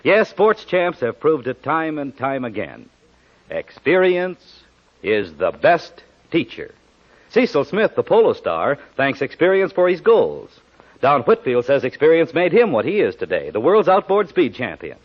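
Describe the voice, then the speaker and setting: Animated male 1940s radio pitchman. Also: unhurried. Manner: emphatic, salesmanlike. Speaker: commercial announcer. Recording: muffled 1940s radio recording.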